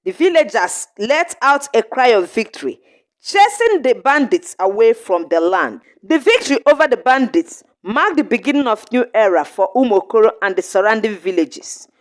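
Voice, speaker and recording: A woman narrating a scripted folktale in short, measured phrases with an earnest storybook delivery. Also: a little high, big pitch swings.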